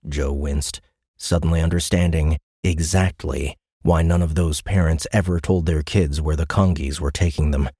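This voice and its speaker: A man's voice: extremely low pitch, steady tone, moderate pace, dark tone, husky, no room sound, clean studio sound. A man reading scripted science fiction narration for an audiobook in a dramatic storytelling style.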